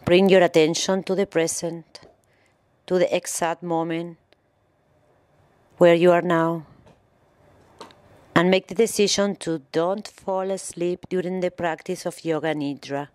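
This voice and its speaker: Slow, low-pitched woman guiding meditation. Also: fairly even intonation, a little mellow, dry recording. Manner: slow, measured, instructional phrasing with pauses. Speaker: yoga instructor and meditation guide. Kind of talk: guided meditation narration.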